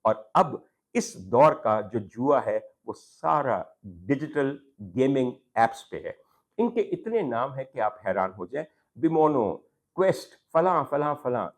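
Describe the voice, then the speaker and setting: A man with a Pakistani accent hosting a talk programme, addressing viewers formally in an opinion monologue with rhetorical questions and emphatic repetition. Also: medium pitch. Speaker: talk show host. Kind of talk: opinion monologue to camera on social issues.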